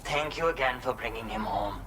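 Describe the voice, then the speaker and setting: A man's voice: mid-range voice, brisk, background noise, lightly acted. An actor playing a grateful, polite young man in a video game cutscene, speaking matter-of-factly. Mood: polite, wry.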